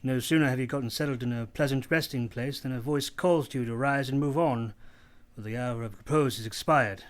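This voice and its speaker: A man playing a brooding, teasing gentleman master in love, with formal, theatrical delivery in a radio play. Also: mid-range voice, a little fast, lightly acted. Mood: musing, regretful.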